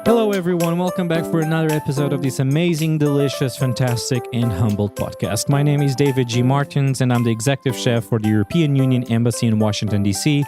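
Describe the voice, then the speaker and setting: A man's voice: medium pitch, quick pace, hardly any pauses, over music. A man from Portugal with a Portuguese accent, hosting a food podcast in an upbeat, conversational way. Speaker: podcast host and chef. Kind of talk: podcast interview.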